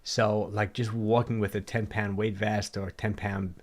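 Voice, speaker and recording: A middle-aged man hosting a livestream, a little hoarse from a cold, answering viewer questions in a rambling, casual way and often repeating himself. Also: lowish voice, flat delivery, a little slow. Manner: rambling, repeats words, restarts sentences.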